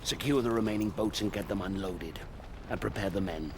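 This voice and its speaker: An actor playing a seasoned, principled Roman army commander in a video game, speaking with measured authority. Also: male voice, lowish voice, a little hiss or hum, close to an everyday voice. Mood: commanding. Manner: authoritative, measured, forceful when challenged. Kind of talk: dramatic video game cutscene acting.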